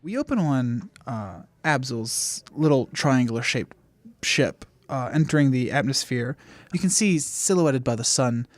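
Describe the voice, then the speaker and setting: Medium-pitched man narrating a tabletop game. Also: lively intonation, measured pace. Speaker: game master.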